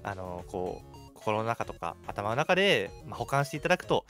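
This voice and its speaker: A man's voice: on the low side, sing-song, moderate pace, a bit bright, faint music behind. A man co-hosting a radio show in casual chat, with playful interjections and agreeing replies.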